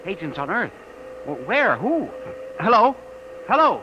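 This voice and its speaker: Excitable junior man, bright, animated voice. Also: sits high, measured pace, highs cut off, heavily acted. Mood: alarmed. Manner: flustered, eager, hesitant, deferential. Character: earnest, excitable, deferential male subordinate radio listener. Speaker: actor in a science fiction radio drama. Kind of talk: old-time radio acting. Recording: noisy old radio recording.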